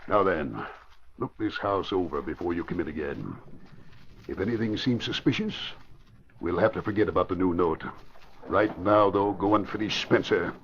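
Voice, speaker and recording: Domineering low-voiced crime schemer. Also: male voice, expressive, moderate pace. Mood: tense, guarded. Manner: commanding, curt. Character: domineering, scheming male crime boss, short-tempered. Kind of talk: 1940s radio acting. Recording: muffled, hissy old radio recording.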